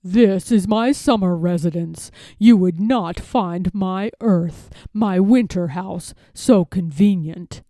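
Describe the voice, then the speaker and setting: A woman voicing a sly, self-assured gentleman fox from a storybook, speaking in formal, drawn-out, over-polite phrases. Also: fairly low pitch, no room sound, studio quality, voice pushed down. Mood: hospitable. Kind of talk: storybook character voice.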